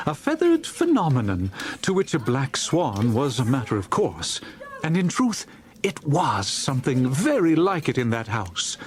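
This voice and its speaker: A man narrating a dramatized classic story as an audiobook, in a theatrical, expressive storytelling manner. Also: mid-range voice.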